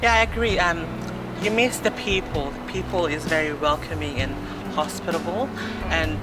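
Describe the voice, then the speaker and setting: A man with a Filipino accent answers interview questions in an animated way, often saying "you know". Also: a little high, moderate pace. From Philippines. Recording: distant mic under loud music.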